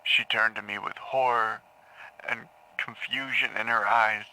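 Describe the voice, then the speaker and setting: An actor playing a haunted man in an audio drama, confessing in halting, repetitive phrases to a grim crime. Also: lowish voice, steady tone, sharp tone, heavily acted, poor audio. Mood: numb, grim. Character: haunted man confessing to killing his parents in therapy. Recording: taped therapy session sound.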